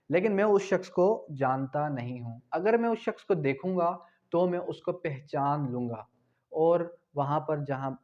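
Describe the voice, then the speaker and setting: A man from Pakistan giving an exam-prep law lecture, asking questions and then answering them, spelling out key terms slowly. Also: fairly high pitch, darkish tone, muffled recording. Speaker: law tutor.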